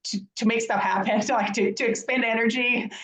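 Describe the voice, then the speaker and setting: A woman's voice: a little high, fairly even intonation, fairly quick, a bit bright. A woman from Serbia, speaking candidly and casually as a guest executive. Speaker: dentist and executive, podcast guest. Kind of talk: casual conversational. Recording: dull, echoey room sound.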